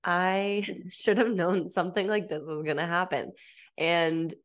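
A woman's voice: lowish voice, fairly even intonation, measured pace, background noise. A woman in her thirties hosting a casual solo podcast, stuffed up from a cold, chatty and upbeat with lots of fillers. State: congested from a cold. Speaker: podcast host.

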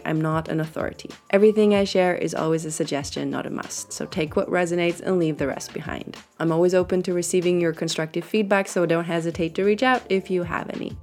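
A woman hosts a solo podcast with a stuffed-up, nasal voice pitched a little low. She talks frankly and chattily, with fillers and the odd laugh, close to the mic. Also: darkish tone. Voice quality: nasal from congestion. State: congested nose. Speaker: podcast host and sexuality coach.

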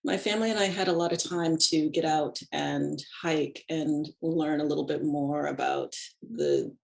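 A middle-aged woman guest in a reflective conversational interview, speaking thoughtfully and unhurriedly with soft laughs between thoughts. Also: low voice, moderate pace, creaky. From United States. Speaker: podcast guest, animist and ritualist.

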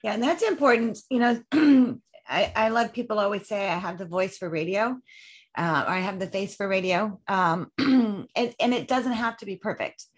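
A woman's voice: medium pitch, moderate pace, slightly rough. Encouraging woman podcast host. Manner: encouraging, asks leading questions. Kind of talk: casual interview talk.

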